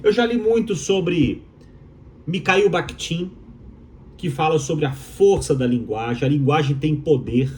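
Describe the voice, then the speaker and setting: A man's voice: on the high side, measured pace, a little mellow, a little hiss or hum. A man from Minas Gerais with a Mineiro accent, a doctoral student giving an opinionated explainer to viewers, emphatic and rhetorical, repeating his key phrases. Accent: Mineiro Brazilian Portuguese. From Minas Gerais, Brazil.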